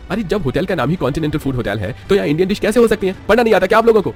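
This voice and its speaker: A man's voice: high-pitched, animated, rapid-fire, faint music behind, voice pushed up. A man playing an irritable, boastful young businessman husband in a story, with an exclamatory, scolding manner. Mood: irritated.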